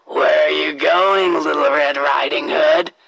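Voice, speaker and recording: A woman puts on a cunning big bad wolf from a children's fairy tale. The voice is hoarse and coaxing, drawing words out slowly in a dry, close recording. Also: sits low, steady tone, a bit bright, dry recording, pitched below the performer's own voice, exaggerated voice, rough recording. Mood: sly coaxing. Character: big bad wolf, sly male predator. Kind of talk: children's storybook character voice.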